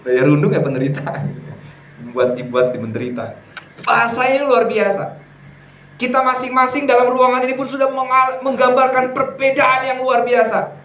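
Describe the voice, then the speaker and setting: A man preaching a sermon to a congregation, lively and emphatic, often putting questions to his listeners. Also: very high voice, moderate pace, slight background noise. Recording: echoey hall from far off, faint ambience.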